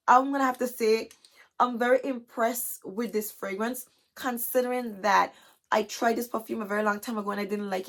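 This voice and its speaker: A young adult woman with a hoarse, scratchy, chesty voice, chatting casually to camera as a vlogger and filling her talk with "you guys". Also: fairly high pitch. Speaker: beauty vlogger. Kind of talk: casual haul chat to camera.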